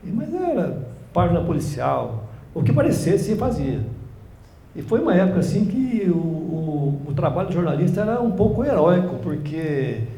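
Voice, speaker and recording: A man in his sixties from Brazil, a journalist guest telling family stories in a relaxed interview, halting and restarting often. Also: sits high, gravelly. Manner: rambling, halting storytelling with many restarts. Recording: echoey room, faint background noise.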